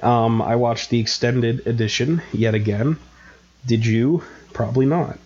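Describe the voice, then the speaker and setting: A man's voice: mid-range voice, steady tone, a little slow, darkish tone, muffled recording. A man with a head cold and a nasal, stuffed-up voice, co-hosting a podcast in casual, rambling chat full of fillers. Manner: rambling, self-mocking, many fillers. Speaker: podcast co-host.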